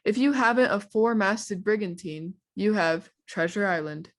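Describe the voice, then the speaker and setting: A woman's voice: mid-range voice, measured pace. A woman giving a scripted, formal and wry theatrical introduction as presenter of a radio drama.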